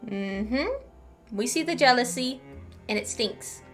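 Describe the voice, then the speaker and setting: A woman's voice: on the high side, lively intonation, slow, soft background music. A woman hosting a game playthrough with casual, playful, snarky commentary. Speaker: gaming channel host.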